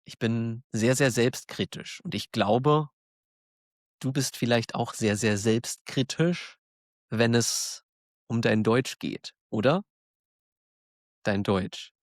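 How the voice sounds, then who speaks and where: Slow, encouraging German man teaching. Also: medium pitch, no room sound, clean studio sound. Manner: encouraging, self-deprecating, laughs often. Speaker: German teacher, podcast host. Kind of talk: slow, clear monologue for learners.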